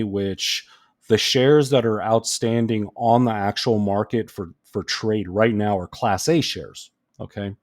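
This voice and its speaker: A man giving casual, off-the-cuff commentary as a host, in a loose, halting way with frequent check-ins. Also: lowish voice, a little mellow, dry recording, clean studio sound. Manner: loose, halting, checks in with "all right?" and "okay?". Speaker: host of a stock analysis channel.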